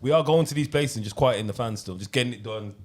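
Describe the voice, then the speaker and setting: A man co-hosting a casual football podcast, animated and laughing, repeating himself to hold the floor. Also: medium pitch, fast. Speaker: podcast co-host.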